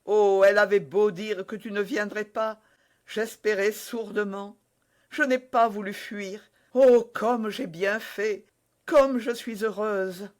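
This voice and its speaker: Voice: medium pitch, fairly even intonation, slow, voice pushed up, natural voice. A reader voicing a loving, frightened young woman who is dying of poison, speaking breathlessly and rising to cries. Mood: overjoyed. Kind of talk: audiobook character voice.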